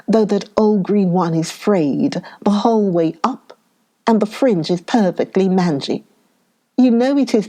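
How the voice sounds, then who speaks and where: A high, tearful young mother, put on by a woman narrator, pleading and reproachful. Her voice climbs over a soft, warm storybook tone. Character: young widowed mother, tearful and self-pitying.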